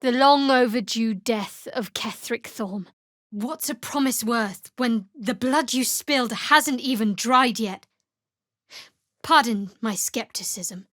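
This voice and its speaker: A woman playing a guarded, sardonic young cleric in a fantasy video game, speaking in a measured, dry, wry way. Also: fairly high pitch, fairly bright tone, dry recording.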